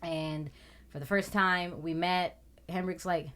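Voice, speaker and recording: A thirty-year-old woman from Puerto Rico, a guest in a casual interview, loud and talkative. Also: fairly low pitch, fairly even intonation, slow pace. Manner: loud, talkative, swears and laughs often.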